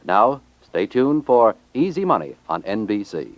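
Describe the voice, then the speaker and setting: Male 1950s radio announcer, scripted promo delivery, lowish pitch, dry muffled vintage recording. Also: big pitch swings, measured pace, heavy background noise.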